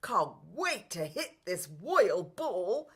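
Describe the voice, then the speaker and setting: A woman's voice: medium pitch, big pitch swings, takes it slowly, fairly bright tone, husky, heavily acted. Woman playing a swooping, bumptious posh young prince. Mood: boastful, excited. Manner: pompous upper-class exclamations.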